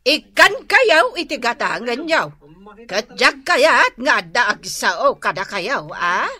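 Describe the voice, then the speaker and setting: Admonishing Filipino storekeeper, radio drama: sharp bright tone, animated high pitch, anxious temper. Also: female voice, slow pace, full character voice. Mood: scolding. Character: scolding, anxious storekeeper trying to stop quarrels.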